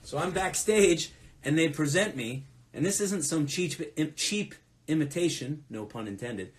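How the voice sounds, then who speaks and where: A man's voice: on the high side, expressive, a little slow. A man chatting casually from home during a live fan Q&A, telling rambling anecdotes. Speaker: musician hosting a fan Q&A. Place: at home, next to a closet.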